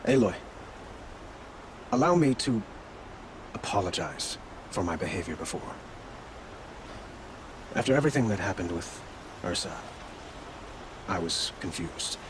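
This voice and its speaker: An actor playing a courteous, dutiful male ruler in a video game, with a formal, measured manner. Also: low voice, very animated, noisy background. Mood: apologetic, sincere. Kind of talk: dramatic video game dialogue.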